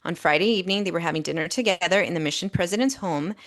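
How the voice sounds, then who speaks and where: A woman's voice: lowish voice, very fast, a bit bright. A woman co-hosting a podcast, explaining and reading out reports in a methodical, emphatic way, often checking in as she goes. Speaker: podcast co-host.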